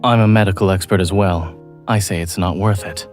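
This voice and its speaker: A man playing a calm, dry-witted doctor in a romantic audio drama, speaking in a measured, soft and deadpan way. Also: sits low, faint music behind, natural voice.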